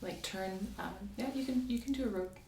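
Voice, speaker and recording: A soft-spoken woman doing an ASMR medical roleplay as a doctor, giving gentle, polite step-by-step instructions. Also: medium pitch, moderate pace, distant mic. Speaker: ASMR performer playing a doctor. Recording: roomy sound, off-mic, faint hiss.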